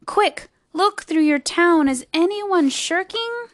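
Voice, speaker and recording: Woman voicing an earnest, big-hearted storybook elephant, high-pitched. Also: a little slow, no room sound, studio quality. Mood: urgent. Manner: pleading, emphatic calling out. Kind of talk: storybook character voice.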